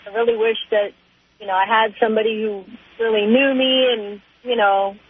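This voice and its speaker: A woman's voice: a little high, steady tone, normal speed, husky, no echo. A woman calling a radio show and chatting casually over a phone line. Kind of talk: casual chat.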